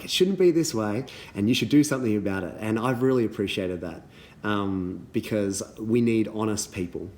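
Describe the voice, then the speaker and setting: A man, a little hoarse from losing his voice, giving earnest thank-you messages to youth leaders with many ums and restarts. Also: on the low side, darkish tone, slight background noise.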